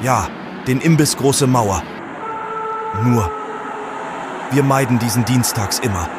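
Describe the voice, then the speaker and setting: A helpful, plain-spoken man of the village, played by an actor with a medium-pitched voice. He speaks in a comic radio play amid clear outdoor traffic noise. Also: takes it slowly, lightly acted. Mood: matter-of-fact. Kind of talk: comic audio drama acting.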